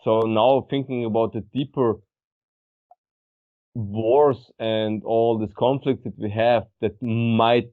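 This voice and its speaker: A man's voice: medium pitch, little pitch movement, slow pace. A man co-hosting a casual podcast, talking in a rambling way with many restarts as he searches for words. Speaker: podcast co-host. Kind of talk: casual philosophical chat. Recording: tinny phone-like sound.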